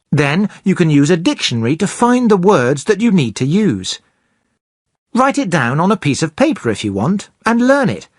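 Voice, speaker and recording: A presenter explaining English grammar slowly and clearly for beginners. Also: medium pitch, dry recording, studio quality. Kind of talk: scripted teaching narration.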